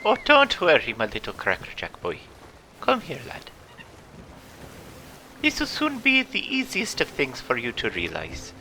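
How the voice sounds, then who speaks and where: A man's voice: medium pitch, huge pitch swings, measured pace, pushed and bright, slight background noise, full character voice. A man voicing a blustery, theatrical pirate captain who spins adventure tales in exclamatory old seafaring talk. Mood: reassuring. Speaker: storyteller and host. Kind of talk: in-character adventure game narration for children.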